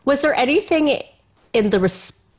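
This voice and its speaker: A woman's voice: high-pitched, lively intonation, a little slow, darkish tone. A woman interviewing a guest in a conversational podcast style, effusive, feeling her way through questions with emphatic repeats.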